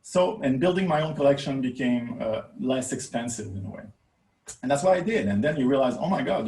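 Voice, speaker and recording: A man's voice: medium pitch, darkish tone. A male researcher presenting a conference paper in a hesitant, wryly emphatic way, recorded in a roomy space away from the microphone. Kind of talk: conference talk. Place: indoor room, recorded for a virtual conference. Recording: roomy echo, distant microphone.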